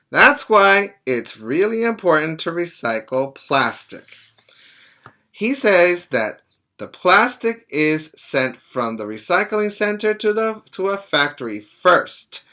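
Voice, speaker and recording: A middle-aged man reads aloud to young children as their teacher. His voice is bright and forceful, and his pace is slow. He is deliberate and instructive, speaking as if to make each point clear to a small child. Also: fairly high pitch. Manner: deliberate, didactic, stopping to explain and check understanding. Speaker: preschool teacher. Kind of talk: read-aloud to young children with plain explanations. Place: small indoor room at home.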